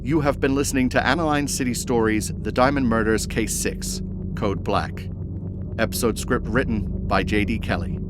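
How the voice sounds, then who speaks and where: A man's voice: mid-range voice, fairly even intonation, normal speed, background noise. Man reading show credits. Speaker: show announcer.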